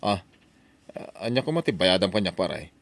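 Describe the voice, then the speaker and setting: A man acts a regretful husband in an Ilocano audio drama. His voice sits low, with the humble delivery of a reformed drinker. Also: very animated, normal speed, vocal fry, fairly bright tone, full character voice. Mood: subdued.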